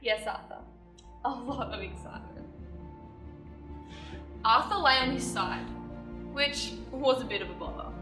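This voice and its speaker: A young woman playing a cold, sharp-witted sister from the Victorian era in a theatrical monologue, with deliberate, emphatic stage diction. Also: a little high, lightly acted. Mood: cold, controlled. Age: young adult. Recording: echoey room, distant mic, music.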